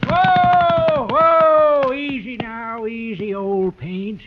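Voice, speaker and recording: An actor playing a dim, cheerful cowboy sidekick with a folksy, goofy drawl in an old radio comedy. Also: male voice, extremely high pitch, animated, exaggerated voice. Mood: soothing. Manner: folksy, goofy, drawn-out delivery. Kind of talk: 1950s radio comedy acting. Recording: noisy, muffled old radio recording.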